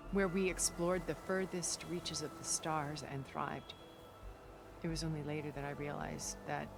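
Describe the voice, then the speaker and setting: An actress voicing a cultured, centuries-old woman recluse in a video game cutscene, speaking in a calm, deliberate and elegant way. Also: deep voice. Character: cultured, ancient woman recluse, measured and regretful. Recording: game cutscene with music underneath.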